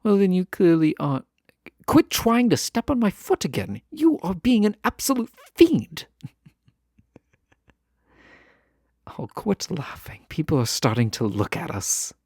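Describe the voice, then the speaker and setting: A man playing a lovestruck, wistful fantasy nobleman in a romantic audio roleplay, speaking formally and tenderly, with halting phrases. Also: high voice, wildly swooping pitch, a little mellow, slightly rough, no echo, clean studio sound, full character voice. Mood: playful, flustered. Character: lovestruck fantasy nobleman, wistful, teasing, formerly held captive.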